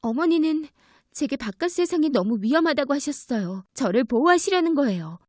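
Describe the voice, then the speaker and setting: A woman's voice: sits high, animated, fairly quick, no room sound, studio quality. A woman voicing a sheltered young princess, timid and earnest, in a children's fairy tale.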